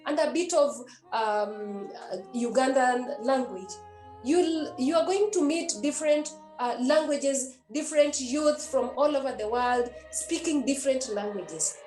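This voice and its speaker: Nun, high voice, earnest and repetitive preaching to youth; roomy echo, faint music underneath. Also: female voice, darkish tone. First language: a Kenyan language. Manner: earnest and repetitive, with many hesitations. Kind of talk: exhortation to young people.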